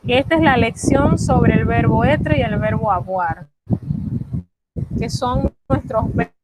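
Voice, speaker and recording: A woman's voice: fairly high pitch, slow. A woman, teaching an online French class and patiently repeating the key points. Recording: loud background noise.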